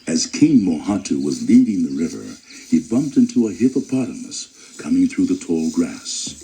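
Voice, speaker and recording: A man narrating a children's storybook in a dramatic, expressive style. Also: low-pitched, moderate pace, slight background noise, poor audio.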